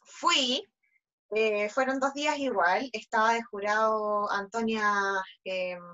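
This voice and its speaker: A young woman with a Chilean accent talks at a slightly high pitch, chatty and full of fillers. Her voice comes through dull and lo-fi, as over a video call. She is a pageant guest recounting her experience. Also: slow pace. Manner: lively, chatty with many fillers. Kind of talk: interview storytelling.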